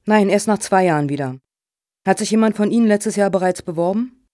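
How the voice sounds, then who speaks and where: A woman's voice: medium pitch, brisk, mellow, no room sound, clean studio sound, lightly acted. An actress playing a courteous, patronising foundation chairwoman in a satirical radio play, speaking formally and politely. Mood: businesslike.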